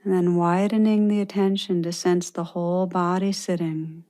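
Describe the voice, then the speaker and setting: A woman meditation teacher leading a guided meditation with gentle, unhurried instructions and pauses. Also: on the low side, level tone.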